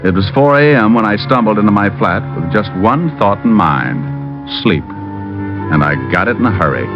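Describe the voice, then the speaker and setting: A wry, sardonic private eye played by a male actor from vintage radio, wisecracking and hardboiled. His low voice swings expressively through each line, on a dull old recording. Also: lively intonation, normal speed, music in the background, close to an everyday voice. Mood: wry narration. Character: wisecracking, quick-witted male private detective. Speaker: narrator and lead actor. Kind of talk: old-time radio detective acting, hardboiled narration.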